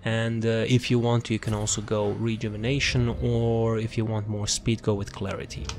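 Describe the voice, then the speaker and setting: A man with a fairly low voice and a creaky, fry-laden edge gives an off-the-cuff gaming guide. He rambles through options and doubles back on words as he searches, with light music playing behind him. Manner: rambling, repeats words and phrases while searching. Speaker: video game build guide creator. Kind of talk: casual, unscripted game build walkthrough commentary. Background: background music.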